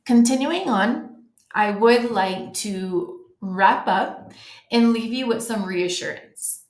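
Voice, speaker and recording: A woman's voice: on the high side, takes it slowly. A woman from the United States giving a friendly, informal webinar presentation to travel agents, with frequent ums and restarts. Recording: roomy echo.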